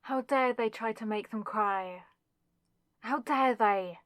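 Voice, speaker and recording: A woman playing a possessive, lovesick demonic villainess in a dramatic ASMR audio roleplay, speaking with intense, deliberate pauses. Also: on the high side, a bit bright, no room sound, studio quality. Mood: furious.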